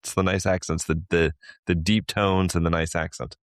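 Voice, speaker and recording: A man's voice: deep voice, little pitch movement, normal speed, no echo, studio quality. A man who is a regular podcast guest, chatting in a playful, teasing way, laughing often and restarting his words. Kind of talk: casual chat.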